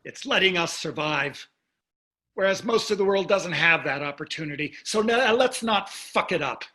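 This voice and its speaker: An actor playing a wealthy, cynical husband in a staged play reading, sarcastic and dismissive in delivery. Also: male voice, sits high, animated, moderate pace, pushed and bright. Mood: hard, defiant. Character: wealthy, cynical, callous, golf-loving husband.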